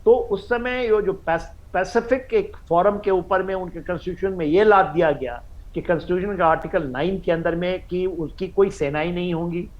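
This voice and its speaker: An Indian man giving expert commentary on a news panel, halting and repeating himself, mixing in English phrases. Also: sits high, highs cut off. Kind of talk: live news panel analysis.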